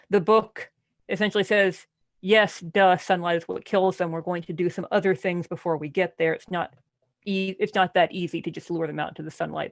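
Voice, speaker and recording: A podcast panelist with a creaky voice and a close, dry sound, talking casually about a book. The delivery is animated and incredulous, circling back through questions and restarts. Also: medium pitch, dry recording.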